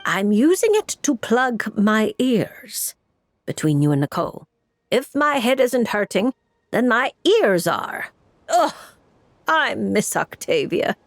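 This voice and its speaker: A woman's voice: a little high, very animated, slow, a little hiss or hum, full character voice. A woman voicing a grumpy, exasperated fortune-teller with a sardonic, matter-of-fact manner in a video game. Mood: grumbling.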